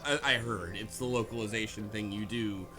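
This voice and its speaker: A man's voice: lowish voice, moderate pace. A man co-hosting a podcast in casual, conversational chat. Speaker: podcast co-host. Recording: music clearly behind the talk.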